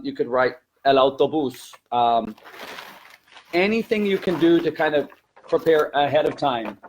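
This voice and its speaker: A man's voice: medium pitch, a little hiss or hum, poor audio. A man who teaches languages, presenting practical classroom advice in an informal, conversational way.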